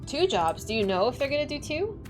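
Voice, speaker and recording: Chatty young woman streamer, lively. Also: brisk, over music. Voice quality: slightly squeaky. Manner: excitable, chatty, laughing often. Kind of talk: casual chat while playing a game.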